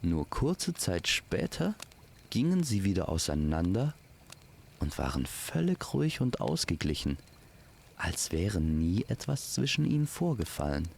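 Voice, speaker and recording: A man's voice: deep voice, big pitch swings, measured pace, vocal fry, darkish tone, slight background noise. A man narrating a scripted fairy tale, speaking intimately to the listener as to a friend. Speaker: storyteller.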